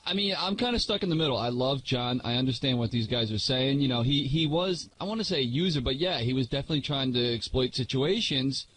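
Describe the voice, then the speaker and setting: A man's voice: mid-range voice, lively intonation, fast, few pauses, fairly bright tone, a little hiss or hum, poor audio. A man in a casual radio panel debate, hedging and trying to see both sides.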